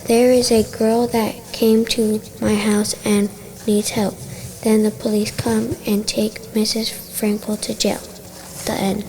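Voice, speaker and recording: A woman's voice: medium pitch, mellow, rough recording. A girl in her early teens reading a scripted story aloud as a student. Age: young teenager. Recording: faint ambient background noise.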